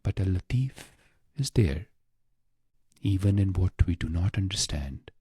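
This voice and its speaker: Male meditation narrator, unhurried and very breathy, husky, close and dry sound, scripted short phrases. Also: animated, no echo. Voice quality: low, breathy, husky voice with creak. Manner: hushed, unhurried delivery in short phrases with long pauses. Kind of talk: slow, scripted guided meditation.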